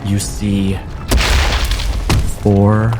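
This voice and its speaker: A man narrating a tabletop game as game master, with deliberate phrasing and dramatic pauses. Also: lowish voice, completely flat delivery, heavy background noise.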